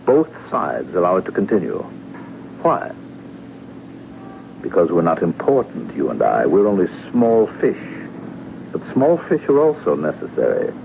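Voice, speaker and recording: An actor playing a calm foreign secret agent who speaks formally and measuredly, uneasy underneath, in a 1970s radio drama. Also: male voice, sits low, vocal fry, full character voice. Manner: measured, enigmatic, formal phrasing. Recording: muffled phone-like old radio recording, hiss.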